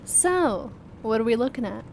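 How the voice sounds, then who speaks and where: An informal, curious doctor in an audio drama. Her voice is high, with a casual, teasing way of talking. Faint noise lies underneath. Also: lively intonation, normal speed, darkish tone. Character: informal, teasing, inquisitive female doctor at a school.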